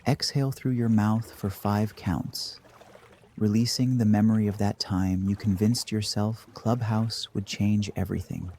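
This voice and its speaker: Dark, mellow low-voiced man guiding meditation. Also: steady tone, unhurried, a little hiss or hum. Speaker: guided meditation host. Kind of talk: scripted guided meditation narration.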